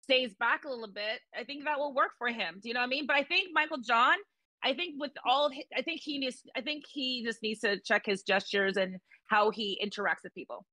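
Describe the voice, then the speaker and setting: A woman's voice: a little high, a little fast, bright, forceful tone, no echo. A woman guest on a casual video call, hesitant and rambling with many restarts. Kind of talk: casual chat. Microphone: webcam on video call.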